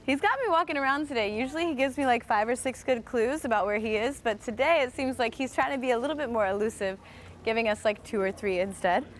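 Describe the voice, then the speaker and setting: A cheerful American woman with a fairly high voice chats her way through a live walking stream outdoors. She thinks aloud, fills her sentences with "um" and "like", and keeps talking straight to her viewers. Street noise sits faintly behind her. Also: quick pace. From United States. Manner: chatty and upbeat, thinks aloud with frequent fillers. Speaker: host. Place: outdoors, walking around a historic site.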